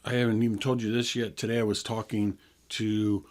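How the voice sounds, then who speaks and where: A man's voice: on the low side, little pitch movement, a little fast. A man in his sixties hosting a health radio show, explaining things in a chatty, easygoing way and laughing now and then. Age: 60s. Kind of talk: casual radio talk.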